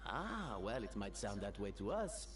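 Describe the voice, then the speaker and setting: A man voicing a theatrical, jovial gentleman in a video game, with grandiloquent, flowery speech and a mischievous streak. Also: lowish voice, very animated, unhurried, loud music behind the voice. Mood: explanatory, grand.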